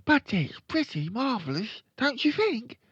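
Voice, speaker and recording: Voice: medium pitch, big pitch swings, a little slow, fairly bright tone, breathy edge, no echo, highs cut off, voice pushed up, full character voice. A narrator voicing a stubborn, boastful six-year-old boy in a storybook.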